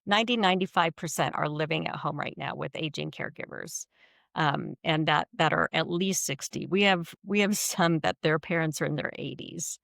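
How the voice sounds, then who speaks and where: American woman with a creaky voice. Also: low-pitched, moderate pace, a bit bright, dry recording, clean studio sound. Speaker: nonprofit executive director, podcast guest. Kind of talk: conversational interview answers.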